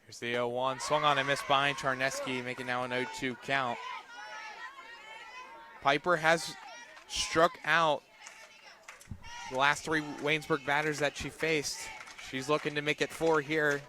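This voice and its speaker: A man with a slightly high voice calls softball play-by-play for radio. He is outdoors, with clear crowd sound and background noise behind his commentary. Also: little pitch movement, measured pace, long pauses. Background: crowd and outdoor ambience.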